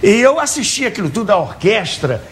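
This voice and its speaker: An older man from Rio, in his seventies, speaks as a guest in an interview. His voice sits a bit high and swings widely in pitch. He tells his anecdotes eagerly, repeating words and posing rhetorical questions. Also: sing-song, a little fast, fairly bright tone, a little hiss or hum. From Brazil, Rio de Janeiro. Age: early 70s. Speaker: talk show guest, author of a book on radio theatre. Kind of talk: animated anecdotal storytelling in an interview. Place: TV talk show studio with audience.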